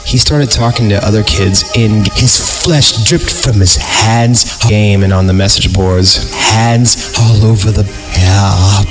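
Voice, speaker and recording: A man hosting a horror podcast, reading stories aloud and breaking off for sarcastic, improvised jokes, with music behind him. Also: on the low side. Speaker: podcast host and reader. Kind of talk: creepypasta reading with joking asides.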